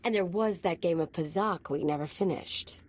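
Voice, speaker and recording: An actress playing a cool, teasing underworld operator in a video game, sly and self-assured. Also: female voice, fairly low pitch, normal speed, a little mellow, close to an everyday voice. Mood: teasing, needling.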